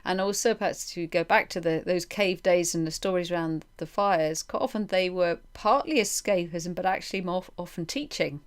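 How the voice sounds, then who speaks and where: A woman's voice: lowish voice, normal speed, hardly any pauses. A woman hosting a reflective interview, feeling her way through her phrases with repeated words and roundabout wording. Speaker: interviewer, podcast host.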